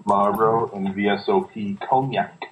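A man's voice: on the low side, fairly even intonation, normal speed. A man co-hosting a casual movie commentary with dry, laid-back quips. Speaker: co-host. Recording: tinny phone-like call with faint hiss.

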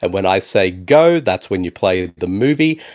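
Animated man hosting a movie podcast. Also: sits low, moderate pace. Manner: animated, laughs often, repeats "exactly" and "indeed". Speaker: podcast host. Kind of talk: casual movie commentary chat.

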